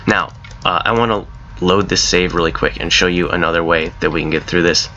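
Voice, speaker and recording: A hoarse man casually talking through his own game mod as he plays, rambling and correcting himself as he goes. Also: sits low, steady tone, a little fast, sharp tone, muffled recording, a little hiss or hum. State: hoarse from a cold. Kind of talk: casual gameplay commentary.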